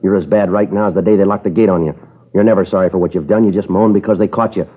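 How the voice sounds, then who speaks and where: An actor playing a terse, hard-boiled male police sergeant who narrates a 1950s radio crime drama in a clipped, flat, matter-of-fact way. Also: sits low, racing. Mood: stern. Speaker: detective narrator. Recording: tinny narrow-band old radio transcription with music.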